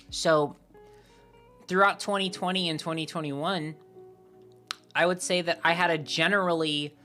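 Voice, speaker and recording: A young woman streamer chatting casually and candidly with her viewers, her voice lowered by a sore throat, rambling with lots of "like" fillers. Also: fairly bright tone. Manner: rambling and candid, heavy use of "like". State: sore throat, voice deeper than usual. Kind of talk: casual live-stream chat.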